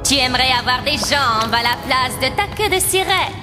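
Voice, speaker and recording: A greedy, mocking sea witch speaks high, inside a long cavernous reverb. She is theatrical and menacing, taunting in a cartoon fairy-tale manner, with music prominent underneath. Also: animated, moderate pace. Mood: ominous, mocking. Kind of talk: dubbed animated fairy-tale acting.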